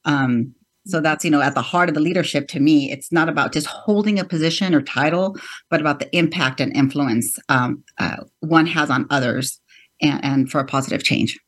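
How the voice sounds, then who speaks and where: A woman's voice: low-pitched, moderate pace. A woman guest in an interview, earnestly laying out her views with frequent "you know" and "um" fillers. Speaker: guest, media executive. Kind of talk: interview answer.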